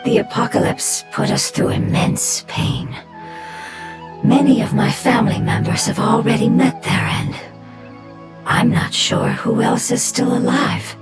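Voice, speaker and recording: A woman voicing an ancient, sorrowful non-human being in a video game, calm, solemn and formal. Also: a little high, measured pace, lightly acted. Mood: earnest. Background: game music.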